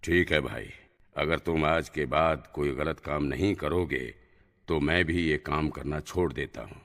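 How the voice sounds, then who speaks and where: A man playing a rough village snake catcher with exclaiming, colloquial talk in a cartoon story. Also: extremely low pitch, unhurried, fairly bright tone, no echo, studio quality. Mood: relieved, repentant. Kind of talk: cartoon moral story dialogue. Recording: very noisy background.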